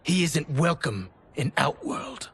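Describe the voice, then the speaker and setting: A man's voice: fairly high pitch, very animated, takes it slowly, a little hiss or hum, exaggerated voice. A man playing an arrogant rebel soldier in a video game, speaking with menace and taunting scorn. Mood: threatening. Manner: threatening, taunting. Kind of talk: video game cutscene acting. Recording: loud music over the dialogue.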